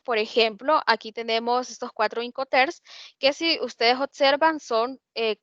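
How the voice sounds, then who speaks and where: A woman with a Honduran accent delivers a lecture in a fairly high voice, pausing often to hesitate and restart her sentences. The sound is dull and lo-fi, with a dry, close feel and no room echo. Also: a bit bright. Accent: Honduran Spanish. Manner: explanatory, many hesitations and restarts. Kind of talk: online lecture.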